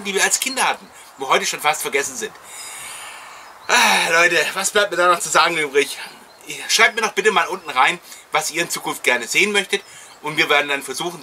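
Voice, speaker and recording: A man's voice: fairly high pitch, bright, forceful tone, a little hiss or hum. Effusive middle-aged man hosting. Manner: effusive, addressing viewers directly. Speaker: host of a collectors' retro show. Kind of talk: enthusiastic chatty presenting to camera.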